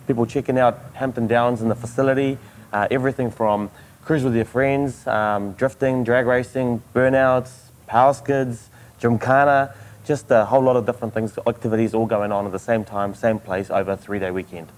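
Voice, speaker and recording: A man with a New Zealand accent, an event organizer, answering interview questions in an earnest, halting way with many fillers. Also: medium pitch, steady tone. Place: outdoors at a motorsport event.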